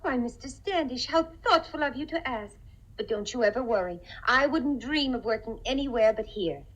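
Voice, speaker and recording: A naive, eager-to-please young office girl in an old radio comedy. She speaks in a fairly high voice, full of folksy flattery and earnest sweetness. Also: moderate pace, highs cut off. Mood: eager, grateful. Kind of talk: 1950s radio comedy-drama acting.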